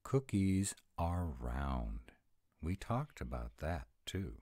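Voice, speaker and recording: A man's voice: a bass voice, very animated, mellow, no echo, clean studio sound, exaggerated voice. A man playing a grumpy, fussy, easily flustered were-dragon who loves food, in a dramatic, exasperated roleplay audio. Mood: sulky, puzzled. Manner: exasperated, dramatic, slow and emphatic. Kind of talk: one-sided romantic comedy roleplay audio.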